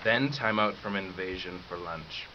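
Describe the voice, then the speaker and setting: A man narrating a 1950s-style radio drama in a measured, deliberate, ominous manner. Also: sits low, over loud music, close to an everyday voice. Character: measured, ominous male storyteller of eerie tale. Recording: dull lo-fi radio recording, clear hiss.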